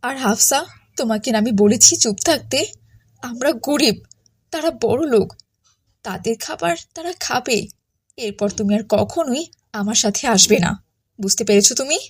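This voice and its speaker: A woman's voice: on the high side, animated, normal speed, long pauses, breathy edge, dry recording. A woman voicing a poor, humble widowed mother in a cartoon, tender and earnest with her children. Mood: apologetic, scolding. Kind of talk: Islamic cartoon dialogue.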